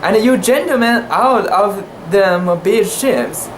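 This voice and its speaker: A woman plays an old sea captain from a classic tale, blunt and plain-spoken. The delivery is folksy, with lively swings in pitch. It sounds like an echoey room with noise behind it. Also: takes it slowly. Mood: stern, grudging. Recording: echoey room with steady background hiss.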